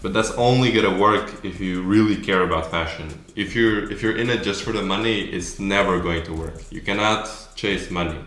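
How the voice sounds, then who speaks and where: Man, age twenty, Latvian accent, low voice, casual slangy Q&A chat, echoey room. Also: moderate pace, hardly any pauses, a bit bright. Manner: informal, slangy. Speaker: clothing brand founder.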